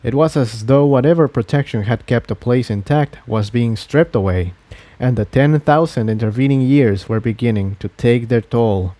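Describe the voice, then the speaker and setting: Mellow male story narrator, dry sound. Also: mid-range voice, normal speed, dry recording.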